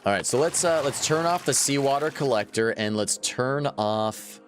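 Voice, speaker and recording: A man's voice: mid-range voice, moderate pace, over music. A man in his thirties chatting casually while livestreaming a game, laughing often and answering his viewers. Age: 30s. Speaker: gaming livestreamer. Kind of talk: casual live gameplay chat.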